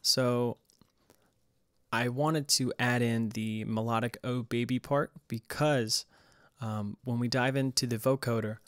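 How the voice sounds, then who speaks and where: A man's voice: mid-range voice, steady tone, takes it slowly, no echo. Dry-sounding man giving a casual tutorial. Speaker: tutorial host. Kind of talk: casual music production tutorial.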